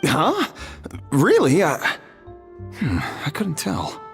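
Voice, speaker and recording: A woman's voice: low voice, huge pitch swings, takes it slowly, exaggerated voice. A woman voicing an eager, hesitant, easily surprised food judge in a video game, tentative in manner. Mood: unsure. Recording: long reverb, faint music behind.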